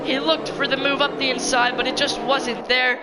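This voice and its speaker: A woman commentating a sim race live, excitable and slightly hoarse from losing her voice. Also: moderate pace. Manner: excitable, rising pitch in close battles. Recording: loud crowd and engine noise behind. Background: race car engines and crowd ambience.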